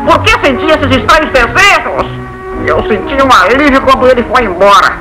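A man's voice: extremely high pitch, lively intonation, normal speed, rough recording. A man voicing an anxious, stammering male cartoon duck in a Brazilian dub, confessing and pleading like a nervous patient. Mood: anxious recounting. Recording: music behind the voice.

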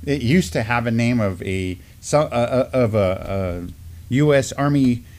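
A man's voice: medium pitch, expressive, a little slow, darkish tone. A middle-aged man with an American accent hosting a community news show in a casual, chatty style. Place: indoor studio, seated at a desk.

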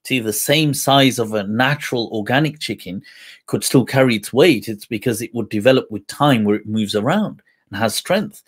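A man's voice: mid-range voice, normal speed, husky. A man in his thirties, an Islamic scholar answering questions on a livestream, talking casually and animatedly, with frequent laughs and repeated words. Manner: animated, gesturing a lot, with frequent laughs and repetitions. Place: indoor studio set with a printed backdrop.

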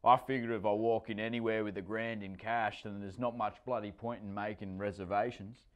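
A man voicing a boastful, cheerful middle-aged surfer stepfather, pitched higher and louder than his own voice. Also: fairly even intonation, brisk, clean tone, lo-fi sound, lightly acted. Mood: proud.

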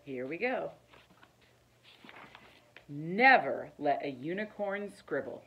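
A middle-aged woman reads a children's picture book aloud in an expressive, deliberate storytelling manner. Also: low-pitched, muffled recording. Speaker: teacher reading aloud. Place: living room, indoors.

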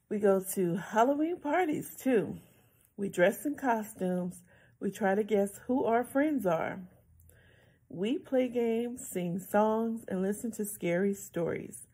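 A middle-aged woman reading a children's picture book aloud in a deliberate way, like a librarian at story time. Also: medium pitch, mellow, husky. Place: small indoor room with bookshelves.

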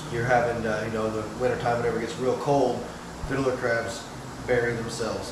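A relaxed man in his thirties walks through a hands-on fishing how-to, unhurried and full of filler words. His voice rings off the hard walls of a small room, with a steady hum running underneath. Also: mid-range voice. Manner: easygoing, many "uh" fillers, step-by-step. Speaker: fishing channel host. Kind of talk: casual how-to demonstration. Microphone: wide camera several feet away. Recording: roomy echo, clear background hum.

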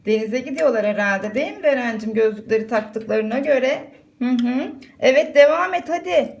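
A woman's voice: a little high, gravelly. A mother chatting casually with her child, encouraging and instructing while narrating an activity for a video. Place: indoors at home. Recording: echoey room, music playing. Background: music and a young child talking.

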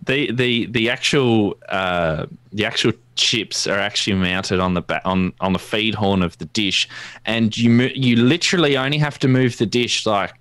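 An Australian man giving an informal technical presentation in a halting, explanatory way. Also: fairly low pitch, fairly bright tone, no room sound. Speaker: guest presenter, amateur radio enthusiast. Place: indoors at a desk, video call.

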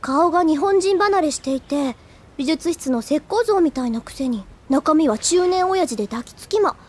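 A woman's voice: high voice, animated, normal speed, a little mellow. A woman playing an earnest, easily flustered teenage schoolgirl in an anime audio drama. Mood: chatty, puzzled. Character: earnest, flustered, chatty teenage schoolgirl who also narrates.

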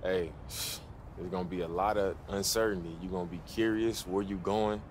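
An actor playing an encouraging, easygoing American pro basketball player in a video game, chatting casually. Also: male voice, low-pitched, fairly even intonation, moderate pace, slight background noise, close to an everyday voice. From Oakland, California.

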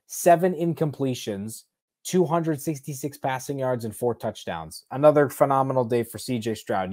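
A young man in his twenties reacting live to a football game from a small bedroom, talking into an earbud mic, excitable and rambling with sarcastic asides. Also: mid-range voice. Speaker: sports reaction streamer. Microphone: earbud headset mic on a webcam stream.

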